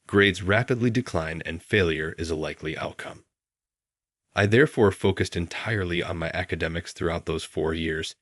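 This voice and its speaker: A man in his thirties narrating a first-person story in an even, steady way. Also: sits low, no room sound, clean studio sound. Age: 30s.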